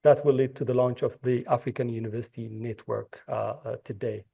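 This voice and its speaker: A man's voice: mid-range voice, steady tone, a little fast, vocal fry, slightly rough, lo-fi sound. A man from Ethiopia moderating a conference panel, speaking with frequent fillers and restarts. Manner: hesitant, many fillers and restarts. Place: conference hall.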